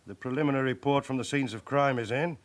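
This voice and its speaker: A man acting a businesslike chief inspector in a radio drama, speaking in a measured way. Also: medium pitch, close to an everyday voice. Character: male senior police chief inspector, steady and businesslike.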